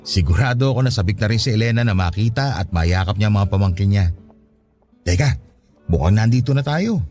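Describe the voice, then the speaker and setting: A man's voice: on the low side, lively intonation, brisk, darkish tone, close to an everyday voice. A male actor with a Filipino accent playing an eager, trusting, affectionate older brother from the province in a radio drama. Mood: reassuring, hopeful. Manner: exclamatory, swooping delivery. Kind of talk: emotional radio drama acting. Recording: faint music under dialogue. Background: faint music.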